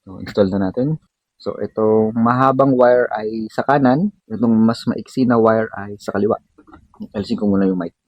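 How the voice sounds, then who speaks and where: Male Filipino vlogger, relaxed dark tone, informal unboxing narration sprinkled with English slang. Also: fairly low pitch, moderate pace, no echo, muffled recording. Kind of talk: casual unboxing and installation demo.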